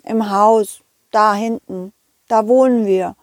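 A woman's voice: mid-range voice, steady tone, slow pace, no room sound, clean studio sound, lightly acted. A woman voicing a small, shy young boy who talks in a childlike, hesitant way with little stammers.

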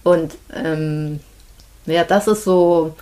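Woman, fairly low voice, casual interview guest, thoughtful, hesitant pauses. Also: steady tone, mellow. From Germany, Hamburg. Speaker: midwife, podcast guest.

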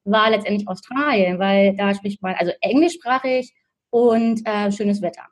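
A woman in her thirties from Berlin, a guest telling her story in a relaxed, chatty way, with many fillers and restarts and frequent laughs. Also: mid-range voice, moderate pace. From Berlin, Germany. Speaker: interview guest. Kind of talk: casual conversational storytelling. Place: in Australia.

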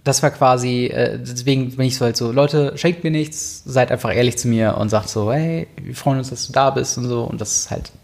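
A young man co-hosting a chatty podcast. He rambles in a relaxed way, stacking fillers and restarts as he thinks aloud. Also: mid-range voice, brisk, creaky, hardly any pauses. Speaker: podcast co-host. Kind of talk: casual chat.

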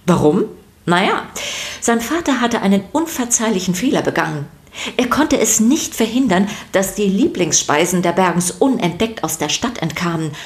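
Woman, lowish pitch, scripted children's podcast narration, expressive storyteller.

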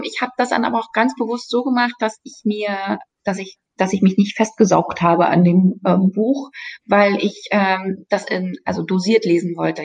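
A middle-aged woman from East Berlin talks as an interview guest, chatty and hesitant, often restarting her sentences. Her voice sits at an ordinary pitch. The recording sounds a little dull and lo-fi. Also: creaky. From East Berlin, Germany. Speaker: guest, radio play voice actress. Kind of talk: casual interview chat.

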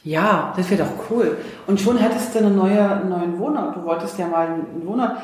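A woman's voice: on the low side, moderate pace, a little mellow, echoey room, a little hiss or hum. A woman from East Germany hosting a casual interview, with a teasing, playful way of talking. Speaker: podcast host.

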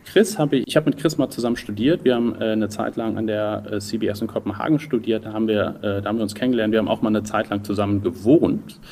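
A man's voice: lowish voice, fairly even intonation, fast, few pauses, warm tone, slight background noise. A man in his thirties from the German Rhineland, answering interview questions conversationally in a thoughtful way, searching for words. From Düsseldorf, Rhineland, Germany. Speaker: interview guest, entrepreneur.

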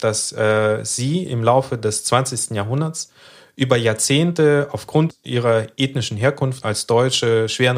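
Measured, hesitant man in his thirties. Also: mid-range voice. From Kazakhstan. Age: 30s. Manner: measured, explanatory, many hesitation fillers. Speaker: podcast co-host. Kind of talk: explanatory podcast conversation.

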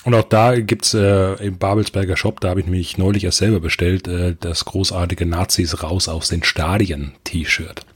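A man's voice: sits low, level tone, normal speed, few pauses, husky, dry recording, studio quality. A man on a podcast panel, chatting casually in a blunt, opinionated way. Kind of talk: casual chat.

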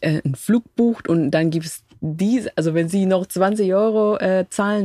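Unhurried woman from Ecuador. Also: low-pitched, a little mellow, no echo, clean studio sound. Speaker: podcast guest. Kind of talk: casual chat. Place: inside a camper van.

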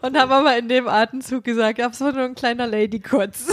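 A woman's voice: on the high side, fairly even intonation, fast talker, dry recording, clean studio sound. Giggly young woman with a light dialect. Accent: German regional dialect. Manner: giggly, self-mocking storyteller. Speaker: podcast co-host. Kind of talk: casual chat.

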